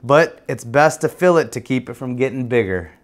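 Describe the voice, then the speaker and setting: A man voicing a gentle, reassuring male bear dentist, calmly explaining things in a children's storybook. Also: medium pitch, moderate pace, natural voice. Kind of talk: children's storybook read-aloud.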